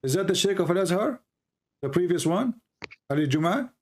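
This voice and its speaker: A man's voice: high-pitched, animated, brisk. A man hosting a live call-in debate, firing repeated pointed questions at a caller. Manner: pressing, repetitive questioning. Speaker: debate show host.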